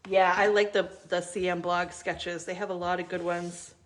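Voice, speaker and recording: A woman's voice: lowish voice, flat delivery, normal speed. Stuffy-nosed woman chatting while crafting. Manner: chatty, talks while working, many ums. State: stuffy, recovering from a cold. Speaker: craft video host. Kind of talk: casual live crafting chat.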